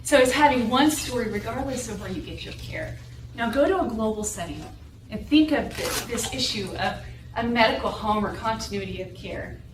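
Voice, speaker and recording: A young woman from New Mexico and Texas with a light Southwestern accent and a North Carolina drawl, giving an academic seminar talk from a podium and often checking in with "right?". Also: medium pitch. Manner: explanatory, often adds "right?" to check in with the audience. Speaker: guest lecturer. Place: lecture room, standing at a podium. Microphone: room recording from a far camera. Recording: echoey room audio from far off with background noise.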